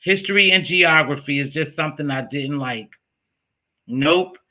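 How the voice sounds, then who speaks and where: High bright chatty man host. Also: normal speed, highs cut off. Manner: chatty, storytelling, asks questions. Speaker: interview host. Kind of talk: casual interview chat.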